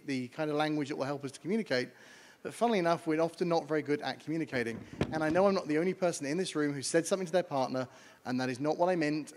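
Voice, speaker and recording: A man with a British accent giving a brisk conference talk from slides, explaining to an audience. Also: fairly high pitch. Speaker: panel speaker on air traffic communication. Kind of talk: conference presentation. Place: large conference hall. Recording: long hall reverb.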